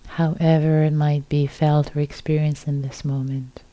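A woman meditation teacher leading a guided meditation in a soft, breathy, gentle voice, with short phrases set between long silences. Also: sits low, little pitch movement, normal speed, lo-fi sound.

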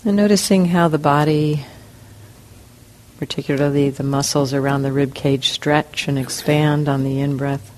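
A woman leads a guided meditation, speaking slowly in short phrases with long pauses. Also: low voice, fairly even intonation, darkish tone. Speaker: meditation teacher. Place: meditation center hall.

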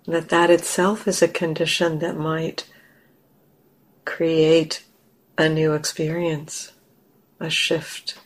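A woman leading a guided meditation as a teacher, gentle and unhurried, leaving long pauses. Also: low-pitched, level tone, rough recording.

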